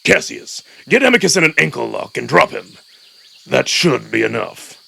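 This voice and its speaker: A man voicing a commanding, impatient old wolf villain with a rough gravelly voice, barking curt orders in a visual novel drama. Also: medium pitch, big pitch swings, moderate pace, pushed and bright, heavily acted.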